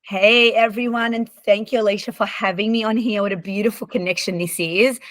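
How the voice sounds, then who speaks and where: A woman's voice: medium pitch, fairly even intonation, fairly quick, fairly bright tone, dry recording. A woman in her forties, born in Lebanon with Arabic and French as first languages, chatting candidly as a podcast guest with frequent ums and restarts. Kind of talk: casual conversational storytelling.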